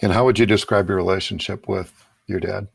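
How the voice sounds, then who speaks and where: Male podcast host, conversational interviewer. Lowish pitch with noticeable fry, dry recording. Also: fairly even intonation, fairly quick, no echo.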